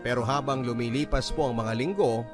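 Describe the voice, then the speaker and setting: Male radio-drama narrator, calm and steady, mid-range voice, clear music bed behind. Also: mid-range voice, fairly quick. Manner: calm, even storytelling. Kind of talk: scripted letter narration. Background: clear background music.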